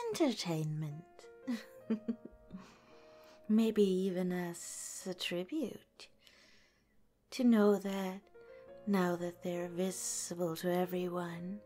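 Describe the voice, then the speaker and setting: A woman playing a gentle, teasing lamia girlfriend in an ASMR roleplay, speaking softly and breathily, often in a whisper. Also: lowish voice. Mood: curious, amused.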